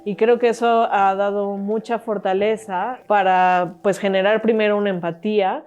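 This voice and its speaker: Woman, mellow tone, mid pitch, explanatory interview delivery, faint music underneath. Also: fairly even intonation, moderate pace, clean tone, lo-fi sound. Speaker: project organizer.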